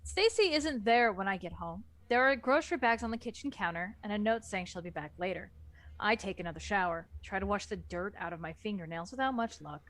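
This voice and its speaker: A woman reading a novel aloud in the first person for a casual book club, in a plain, even delivery. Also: mid-range voice, fairly quick, no room sound.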